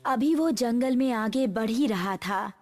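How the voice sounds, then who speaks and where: A woman's voice: a little high, unhurried, a little mellow, soft background music. A woman reading a scripted horror story as its narrator.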